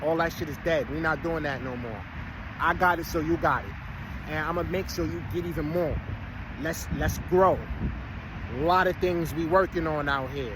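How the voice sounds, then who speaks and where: A man's voice: on the high side, moderate pace. A man about to turn forty, talking casually to his followers in a rambling, streetwise way with preachy asides. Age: 30s. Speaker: vlogger. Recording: outdoor street noise, vehicles passing.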